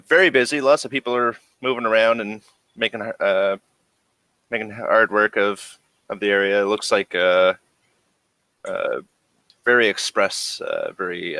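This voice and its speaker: Slow-talking, low-voiced man running a game. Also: sharp tone, dry recording. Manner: halting, hesitant, searching for words. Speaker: game master. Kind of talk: improvised tabletop game narration.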